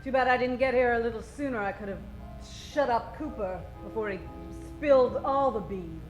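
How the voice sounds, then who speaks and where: A woman's voice: on the high side, normal speed, echoey room, soft background music, natural voice, low-quality recording. A woman playing a gloating, scheming villainess in an old-time radio crime comedy. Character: scheming, gloating villainess, cool femme fatale.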